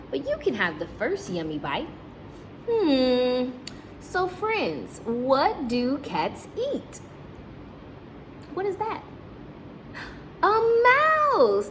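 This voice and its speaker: A woman's voice: medium pitch, noisy background. A woman teacher reading a story aloud to young children, breaking off to question and explain things to her listeners.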